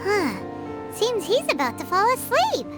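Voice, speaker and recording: Super high squeaky little fairy mascot, perky, bouncy anime game voice, music behind. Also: female voice, wildly swooping pitch, unhurried, exaggerated voice. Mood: curious. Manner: perky, speaks of itself by name. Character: tiny cheerful floating fairy companion, childlike and chatty.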